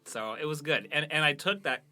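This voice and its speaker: A man's voice: fairly high pitch, animated, fairly quick, sharp tone, a little hiss or hum. A man hosting a podcast, casually reading out listener reviews and bantering in a jokey, self-deprecating way. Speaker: podcast host and producer.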